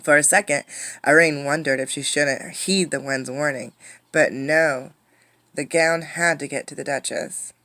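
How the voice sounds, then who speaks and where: Lower-pitched woman reading a storybook aloud. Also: dry recording. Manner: expressive, pausing to ask children questions. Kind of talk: interactive storybook read-aloud.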